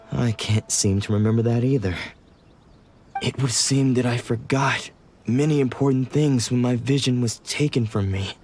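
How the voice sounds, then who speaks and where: A man's voice: medium pitch, lightly acted. An actor playing a weary man who lost his memories, speaking in a brooding, melancholic way. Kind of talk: video game voice acting. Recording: game score behind.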